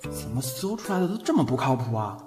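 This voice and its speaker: A man's voice: mid-range voice, very animated, fast, music in the background, lightly acted. A man playing a shy, eager young boyfriend in a Chinese audio drama, given to flustered stammering. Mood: nervous, puzzled.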